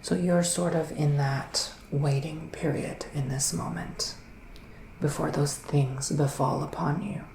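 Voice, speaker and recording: A man performing a soft-spoken, often whispered ASMR roleplay as a psychic witch, musing in a hesitant, drawn-out, mystical way. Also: on the high side, steady tone, creaky, reverberant, a little hiss or hum, poor audio. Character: psychic male witch giving a mystical reading.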